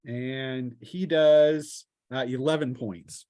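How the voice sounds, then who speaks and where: A man's voice: mid-range voice, fairly even intonation, takes it slowly, dark tone, clean tone, dry recording. A man running a tabletop roleplaying game as game master, narrating combat casually with vivid scene-setting.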